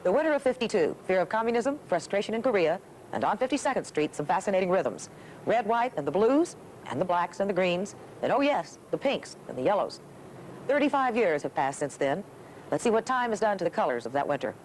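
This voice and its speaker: A woman's voice: medium pitch, big pitch swings, fairly quick, a little mellow, slight background noise, rough recording. An American woman hosting a television documentary, reading scripted narration in rhetorical, clipped phrases.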